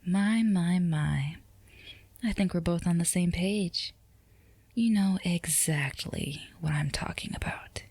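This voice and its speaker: A woman playing a flirtatious, self-assured female boss in an intimate roleplay ASMR drama, speaking in a soft murmur that slips into whispers, teasing and commanding. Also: fairly low pitch, slow pace, breathy edge, no room sound, full character voice. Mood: sly, teasing.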